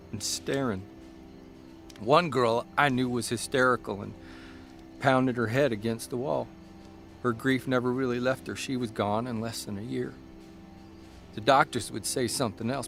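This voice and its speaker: A man's voice: mid-range voice, creaky, a bit bright. A man playing an earnest, self-deprecating, plain-spoken newspaper columnist narrating his own stories in an audio drama, in a folksy way. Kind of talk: scripted first-person audio drama narration.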